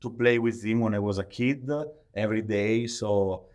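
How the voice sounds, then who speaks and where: A man with an Italian accent answering interview questions conversationally in halting, non-native English, often leaning on the word "like". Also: fairly low pitch, fairly even intonation, a little mellow. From Italy. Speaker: podcast guest, professional athlete.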